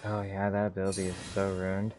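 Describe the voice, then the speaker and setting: A man's voice: deep voice, monotone, fairly quick, music in the background. A man giving casual commentary on his own card game, muttering to himself as he plays. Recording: loud background noise, mouse clicks.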